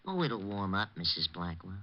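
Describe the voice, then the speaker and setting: An actor playing a small, cocky, menacing hired killer in an American radio crime drama, talking in taunting tough street slang. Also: male voice, deep voice, very animated, moderate pace. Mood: sly, threatening. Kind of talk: 1940s radio acting. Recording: muffled old radio drama recording.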